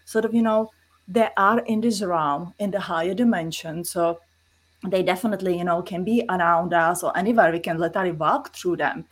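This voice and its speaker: A woman's voice: lowish voice, a little fast, slightly rough. A middle-aged woman with a Czech accent, earnestly explaining spiritual experiences as an interview guest in non-native English.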